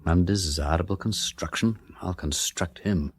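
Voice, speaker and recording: An actor playing an aristocratic English gentleman detective in a radio drama, with a husky voice, an affected chatty drawl and an upper-class English accent. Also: low-pitched, unhurried, heavily acted. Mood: stiff, indignant. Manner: affected silly-ass drawl with tag questions. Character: aristocratic gentleman sleuth, breezy, chatty, shrewd underneath.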